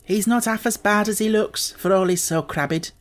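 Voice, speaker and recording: A man's voice: sits high, normal speed, pitched above the performer's own voice, natural voice. A man voicing a cheerful, plain-spoken young Yorkshire housemaid in an audiobook, chatting in broad, homely dialect. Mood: frank, helpful.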